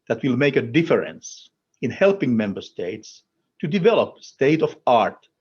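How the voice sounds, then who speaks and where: A man from Finland with a Finnish accent delivers a formal policy speech as an official, in deliberate, emphatic short phrases. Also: mid-range voice, slightly rough, muffled recording. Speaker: European Commission official speaking at a conference.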